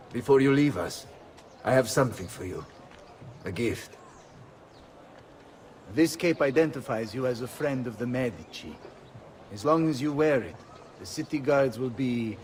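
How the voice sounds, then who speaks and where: An actor playing a stately, gracious ruler with a formal, measured manner in a game cutscene. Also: male voice, medium pitch, a little hiss or hum, natural voice. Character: stately, gracious male Florentine ruler and patron. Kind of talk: dramatic game cutscene acting.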